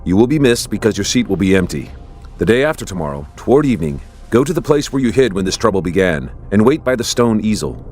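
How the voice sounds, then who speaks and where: Jonathan, earnest low-voiced prince, very expressive. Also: male voice, fast, faint music behind. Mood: earnest instruction. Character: Jonathan, earnest loyal young prince and devoted friend. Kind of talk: dramatized scripture audio acting.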